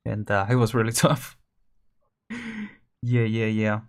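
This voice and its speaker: A man's voice: fairly low pitch, level tone, takes it slowly, smooth. A man casually commenting while streaming a story game, chatty, thinking aloud and laughing often.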